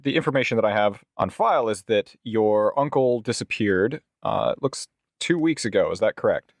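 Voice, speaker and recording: A man playing a polite, officious legal agent in a tabletop game, speaking formally in measured, wordy sentences. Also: lowish voice, expressive, no echo, clean studio sound.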